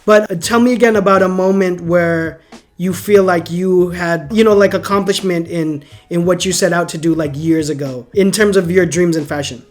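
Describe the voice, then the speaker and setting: Relaxed slangy male interviewer. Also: sits high, normal speed. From San Diego, United States. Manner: relaxed, slangy, enthusiastic reactions. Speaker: interview host. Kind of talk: casual interview.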